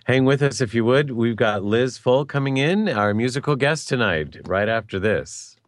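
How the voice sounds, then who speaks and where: A man hosting a live radio talk show, thanking guests and leading into segments with halting restarts. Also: medium pitch, expressive.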